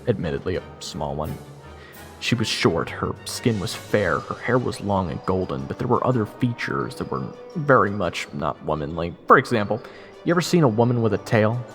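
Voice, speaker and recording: Man acting as a wry, animated adventurer narrator. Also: low voice, vocal fry. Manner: conversational, wry. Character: wry, sarcastic young male adventurer telling his tale. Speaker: narrator and protagonist. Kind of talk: first-person dramatic narration.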